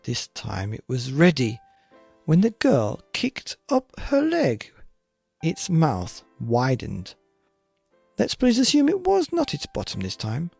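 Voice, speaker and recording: Animated, gravelly-edged man reading bedtime stories. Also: fairly high pitch, dry recording, soft background music. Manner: theatrical, deliberate. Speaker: podcast narrator. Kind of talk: expressive children's bedtime story narration.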